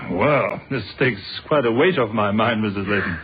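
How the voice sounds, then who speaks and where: An actor playing a courteous, patient British police inspector in an old radio drama, measured and sympathetic in manner. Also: male voice, fairly low pitch, close to an everyday voice. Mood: courteous, concerned. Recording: tinny, hissy old radio recording.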